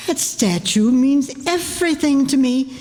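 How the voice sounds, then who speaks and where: A woman's voice: sits high, expressive, measured pace, darkish tone. A woman playing an imperious, wealthy old aunt in an old-time radio drama, haughty and domineering. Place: live stage show in a hall. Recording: echoey hall, long reverb.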